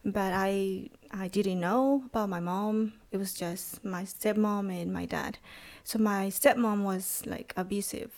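A woman in her thirties from El Salvador with a Salvadoran Spanish accent, sharing her personal testimony as a podcast guest in a halting way, with many pauses and restarts. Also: mid-range voice, fairly even intonation, a little mellow. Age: 30s.

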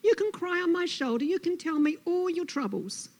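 A fussy lizard friend from a children's storybook, as a woman acts it out. The voice is very high and cooing, pushing sympathy with melodramatic insistence. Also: animated, normal speed, darkish tone. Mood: cooing, concerned.

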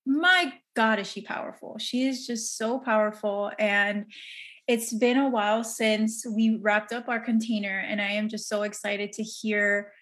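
Woman podcast host, super excited and effusive, mid pitch, chatty. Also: unhurried. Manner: gushing, enthusiastic praise. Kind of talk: casual podcast interview.

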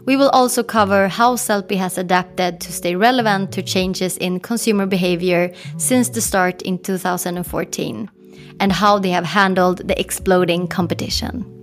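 A woman hosting a podcast, reading a smooth, upbeat scripted intro and then chatting in a friendly way with her guest. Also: fairly low pitch, fairly even intonation, normal speed, faint music behind. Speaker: podcast host. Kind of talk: scripted intro and casual interview.

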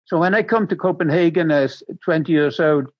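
An older man from Sweden with a Swedish accent, speaking English as a non-native and recounting his life in a halting way, repeating words as he searches for them. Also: fairly high pitch, no echo, lo-fi sound. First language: Swedish and Danish. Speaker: interview guest.

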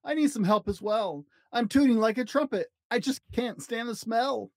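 Guy doing a gassy gorilla from a kids story, whiny complaints, shouty, pitched up. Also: normal speed, no echo. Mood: distressed. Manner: shouting, exclamatory.

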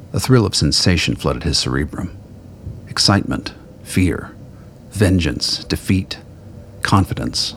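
A man narrating a scripted radio play in a measured, literary storytelling manner. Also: sits low, slight background noise.

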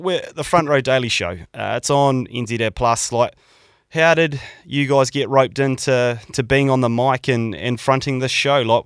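A man's voice: mid-range voice, fairly bright tone, smooth, dry recording, studio quality. A man hosting a podcast interview, teasing his guests and saying "mate" and "like" a lot. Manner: jokey, teasing, says "like" and "mate" often. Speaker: podcast host. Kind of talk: casual interview chat.

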